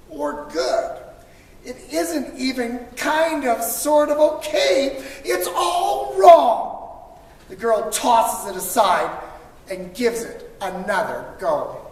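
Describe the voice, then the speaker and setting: A high-voiced woman reads a children's storybook aloud at an unhurried pace, with expressive, dramatic emphasis. She sounds far from the mic in a reverberant, echoey space. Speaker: storybook reader for school children. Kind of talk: animated read-aloud of a children's picture book. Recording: distant mic, echoey reverberant room, faint noise.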